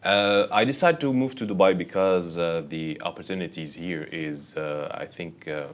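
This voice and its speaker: A man with a low-pitched voice and a Syrian Arabic accent gives casual interview answers. He speaks in a halting way, pausing often as he searches for the right word. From Syria, Damascus. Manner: halting, frequent pauses searching for words. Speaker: interview guest, actor and content creator.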